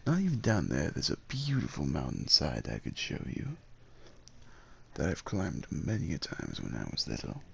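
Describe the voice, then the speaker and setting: Man voicing a very deep gravelly devoted werewolf suitor. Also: huge pitch swings, moderate pace, heavily acted. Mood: warm, hopeful. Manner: hesitant, tender, old-fashioned courtly phrasing with tag questions. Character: devoted, gentle, nervously jealous male werewolf suitor. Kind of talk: ASMR roleplay, acted monologue addressed to the listener.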